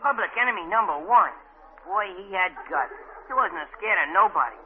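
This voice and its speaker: A man's voice: high-pitched, lively intonation, normal speed, slight background noise. An actor playing a cocky, tough boy from a New street gang in a 1940s radio drama, brash and slangy. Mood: awed bragging. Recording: muffled 1940s radio broadcast, faint music.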